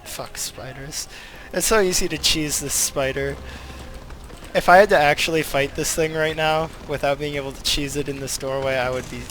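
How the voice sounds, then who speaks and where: A man giving casual live video game commentary as a streamer, rambling and thinking aloud with halting pauses. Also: fairly high pitch, noisy background.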